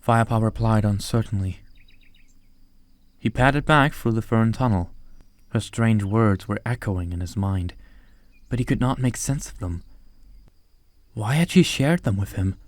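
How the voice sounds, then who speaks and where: A man's voice: lowish voice, measured pace, long pauses, dry recording. A man reading scripted audiobook narration as a storyteller.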